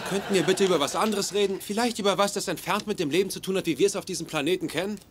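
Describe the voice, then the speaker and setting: A man's voice: high voice, racing, slight background noise, natural voice. An actor playing an earnest, touchy, lovelorn young man in a German-dubbed sitcom. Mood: irritated.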